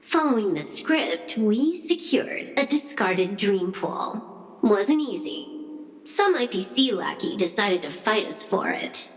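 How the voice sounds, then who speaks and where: A woman's voice: mid-range voice, expressive, slow pace, echoey room, in a large hall, soft background music. An actress playing a cool, wry young female hacker in a video game, with a dry, teasing, laid-back delivery. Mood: dry, casual. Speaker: game character voice actor. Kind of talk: video game dialogue acting.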